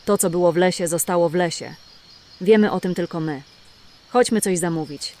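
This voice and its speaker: A woman's voice: on the low side, a little fast, warm tone, slight background noise, close to an everyday voice. Woman playing confident, tough female bandit. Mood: level-headed. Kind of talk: fantasy radio drama acting.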